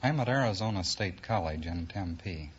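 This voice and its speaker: A man from Oregon, an English professor, giving measured, dry and learned answers as a quiz contestant on old radio. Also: low voice, animated, husky. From Oregon, United States. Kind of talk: formal interview answers. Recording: dull old radio recording, faint noise.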